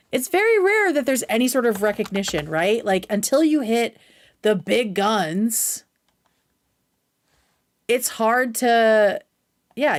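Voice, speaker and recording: Blunt, casual woman livestreamer. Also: a little high. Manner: blunt, profane. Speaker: streamer, host. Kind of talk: casual opinionated livestream commentary.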